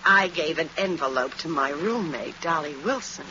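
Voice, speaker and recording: A woman playing a bitter, defiant gangster's moll, forceful and slangy, in an old-time radio crime drama. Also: sits low, animated, normal speed. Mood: defiant threatening. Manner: slangy, forceful accusing talk. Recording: noisy, muffled vintage radio recording.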